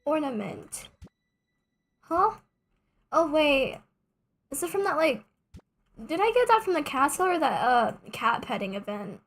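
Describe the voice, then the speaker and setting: A woman's voice: sits high, big pitch swings, unhurried, long pauses, a bit bright. A young adult woman streaming a game, chatting casually in a rambling, self-mocking way with joking asides. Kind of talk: casual gameplay streaming chat.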